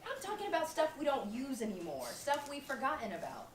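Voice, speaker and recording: A woman's voice: high-pitched, huge pitch swings, brisk. An actress playing a practical young woman roommate in a live stage comedy, often exasperated. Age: young adult. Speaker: stage actor. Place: small theatre stage. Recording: roomy stage, distant mic, background noise.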